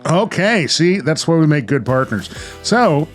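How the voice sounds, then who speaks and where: A man's voice: a little high, big pitch swings, unhurried. American man hosting, faint music behind. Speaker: podcast host. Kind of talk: casual chat and narration.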